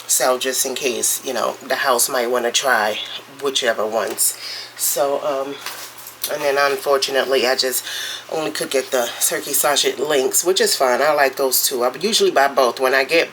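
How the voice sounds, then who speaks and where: Casting: congested woman vlogger, bright forceful tone, rambling kitchen chat, faint noise behind. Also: a bass voice, low-quality recording. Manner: chatty, rambling, drawn-out storytelling. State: congested with a cold. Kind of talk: casual morning vlog chat. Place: home kitchen, cooking.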